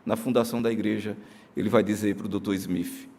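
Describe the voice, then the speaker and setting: A male pastor with a Brazilian accent preaching a sermon in a church, earnest, moving between emphatic declarations and soft, slow solemn passages. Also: lowish voice, steady tone, gravelly. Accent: Brazilian Portuguese. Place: church sanctuary during a live-streamed service.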